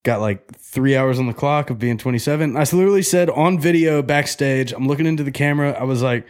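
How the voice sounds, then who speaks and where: A man's voice: mid-range voice, fast, smooth. Laid-back twenties guy telling a story. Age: 20s. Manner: loose, storytelling, swears freely, laughs at himself. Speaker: podcast host. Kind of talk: casual chat.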